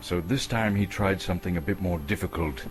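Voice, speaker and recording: A man from Canada narrating a story as a theatrical, expressive dramatic reading. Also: low voice, brisk.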